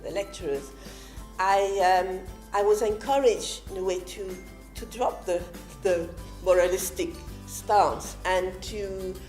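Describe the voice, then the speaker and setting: A woman's voice: mid-range voice, a little mellow. An older woman, a student, talking hesitantly in an interview. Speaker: film course student. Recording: echoey room, faint music.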